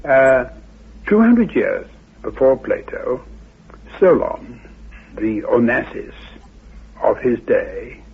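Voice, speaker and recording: A man giving an explanatory historical account as an expert, in measured, erudite phrasing. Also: medium pitch, vocal fry, slightly rough. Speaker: expert on Atlantis. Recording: narrow phone-like old radio audio.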